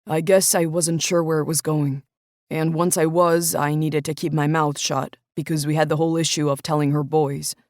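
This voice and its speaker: A woman's voice: low voice, level tone, moderate pace, darkish tone, dry recording, clean studio sound. A woman voicing a troubled young man who talks in a subdued, regretful way, in an audiobook. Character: troubled young adult man, a guilty football coach. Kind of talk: audiobook character voice.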